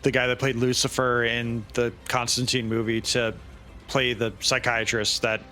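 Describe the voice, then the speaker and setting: A man's voice: medium pitch, little pitch movement, normal speed, sharp tone, faint music behind. A man co-hosting a podcast, chatting casually about movies in a wry, jokey manner. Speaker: podcast co-host.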